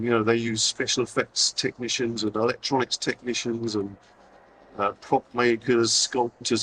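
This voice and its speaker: Hoarse middle-aged man, distant mic. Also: medium pitch, a bit bright, a little hiss or hum. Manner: informal, self-deprecating, lots of fillers and restarts. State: hoarse, losing his voice. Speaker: conference speaker. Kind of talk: live talk to an audience. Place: convention hall stage.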